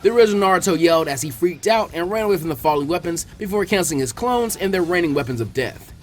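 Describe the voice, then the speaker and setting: A man's voice: sits high, expressive, fast, soft background music. A man reading fiction aloud as a dramatic audiobook narrator. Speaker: fan fiction reader.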